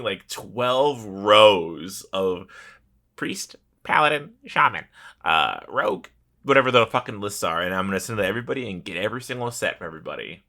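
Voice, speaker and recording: Animated, forceful low-voiced man, swearing freely. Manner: profane, blunt, animated storytelling. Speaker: podcast co-host. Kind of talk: casual chat about online gaming.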